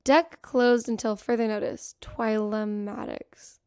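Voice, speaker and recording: A woman voicing an excitable ship's doctor who talks in a confiding, eager way in a radio drama. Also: fairly high pitch, slow, clear, resonant tone, no echo, clean studio sound. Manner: breathless, confiding. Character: excitable female ship doctor and scientist.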